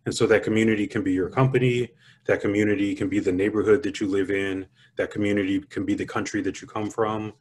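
The deep, slightly rough voice of a man you would hear over the slides of a professional online seminar, explaining policy step by step in a measured way. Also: steady tone, darkish tone. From born in Italy, lives in the United States. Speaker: cybersecurity consultant presenting. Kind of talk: webinar presentation, explanatory.

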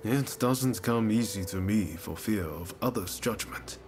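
An actor playing an upbeat, idealistic young ronin in a video game cutscene, casual, earnest and encouraging. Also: male voice, fairly low pitch, unhurried, close to an everyday voice. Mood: warm, hopeful. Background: game music.

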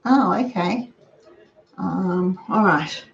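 A woman's voice: on the low side, very slow, vocal fry. An older woman with an Australian accent, chatting through a cooking demonstration in her home kitchen and sharing practical money-saving tips. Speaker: host of a frugal cooking channel. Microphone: fixed camera across the counter. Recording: roomy, dull lo-fi sound.

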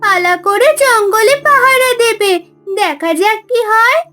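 A woman's voice: extremely high pitch, unhurried, loud music behind the voice. A woman playing a sweet, anxious village girl in a cartoon fairy tale, with a girlish, plaintive manner. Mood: worried.

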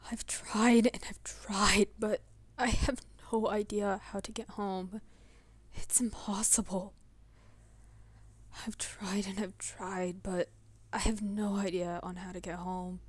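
A woman voicing a sad, lost young wolf in a soft, breathy, halting near-whisper for a comic dub. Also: medium pitch, little pitch movement, voice pushed down. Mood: weary, hopeless. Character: sad, wistful young wolf far from home.

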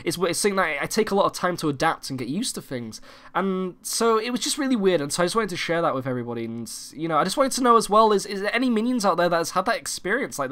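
Young man, croaky cold voice, high and lively pitch, quick rambling talk, bright tone, lots of fillers. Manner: rambling, many fillers and restarts. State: hoarse from a cold. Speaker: gaming channel host. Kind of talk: casual vlog to viewers. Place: home recording room.

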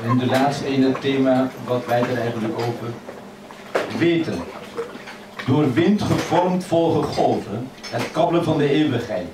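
A man reading his poems aloud in a measured, expressive way at a microphone in a crowded old café. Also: medium pitch, recorded from a distance, very noisy. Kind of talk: poetry reading. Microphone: stand mic, filmed from across the room. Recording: echoey café filmed from afar, loud chatter.

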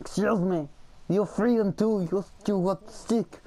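A creaky-voiced player bantering casually during an online game, exclaiming and repeating things in a mock-pompous way. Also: mid-range voice, measured pace, dark tone.

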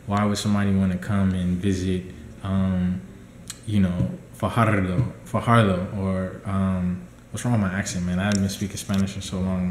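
Young man, dietitian vlogger, deep monotone, casual rambling talk, roomy home recording. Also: a little hiss or hum. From United States. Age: young adult. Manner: relaxed, rambling, many ums. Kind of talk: casual vlog update. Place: small indoor room at home. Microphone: laptop or phone camera at close range.

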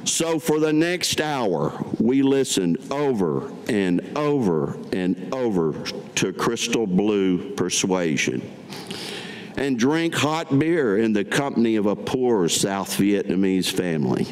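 An older man from Kentucky reads his writing aloud at a podium. His voice is on the low side and moves very slowly, with deliberate phrasing. The room leaves a long echo around him. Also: big pitch swings. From Kentucky, United States. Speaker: veteran reading his own written piece. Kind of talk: staged reading of autobiographical writing. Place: public meeting room, at a podium. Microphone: podium gooseneck microphone. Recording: echoey hall with background noise.

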